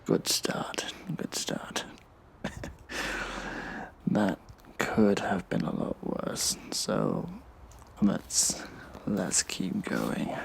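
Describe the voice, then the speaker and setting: A man playing a smug, mischievous hacktivist vigilante in an audio drama, speaking in a hushed, breathy, halting monologue. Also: on the low side, slight background noise, exaggerated voice, rough recording. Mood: flustered, playful.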